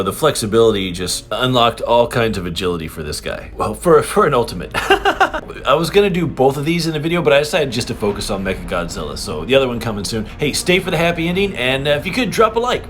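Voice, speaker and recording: A man chatting casually through a toy unboxing and review, with an excitable, giggly fan's enthusiasm. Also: mid-range voice, fast. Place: indoors in an apartment.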